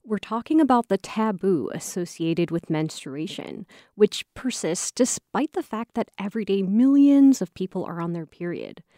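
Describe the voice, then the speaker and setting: A woman hosting a public radio talk show, introducing guests and asking questions in a clear, measured way. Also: mid-range voice, lively intonation, mellow, no room sound, studio quality. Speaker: radio host.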